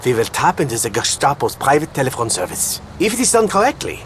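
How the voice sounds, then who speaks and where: Cunning spymaster with lively intonation. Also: male voice, medium pitch, fast, slight background noise. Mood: calm, conspiratorial. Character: cunning, calm spymaster ally briefing his agent. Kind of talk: video game cutscene acting.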